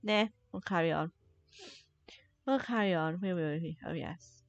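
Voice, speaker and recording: A woman's voice: fairly low pitch, unhurried, gravelly, dull audio. A woman livestreaming a game with casual commentary, musing aloud in a playfully sceptical way on a close mic. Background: faint game music.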